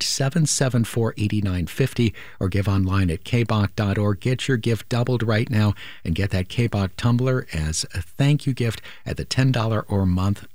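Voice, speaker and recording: A man's voice: lowish voice, darkish tone, dry recording. A male radio host making an earnest, persuasive appeal during a pledge drive.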